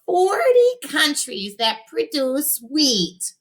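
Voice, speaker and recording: Lady reading as a nasal whiny kid genius, way up high, swoopy. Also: very animated, very slow, full character voice. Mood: smug. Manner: fussy, know-it-all. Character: whiny nerdy little boy genius.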